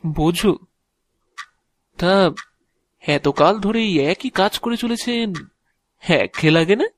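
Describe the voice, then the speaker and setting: A man's voice: high-pitched, lively intonation, takes it slowly, dry recording. An actor playing a hesitant, inquisitive mortal man who is questioning an agent of Death in an audio drama. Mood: curious. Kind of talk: Bengali audio drama acting.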